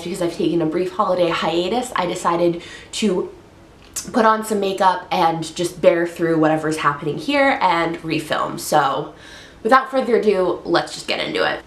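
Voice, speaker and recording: A young woman with a low, slightly gravelly voice, congested from a cold, gushes about books on her vlog. She chats casually with plenty of fillers. The room around her sounds echoey. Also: a bit bright. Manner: chatty, upbeat, frequent fillers. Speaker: book vlogger. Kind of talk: casual book review vlog. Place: bedroom, indoors.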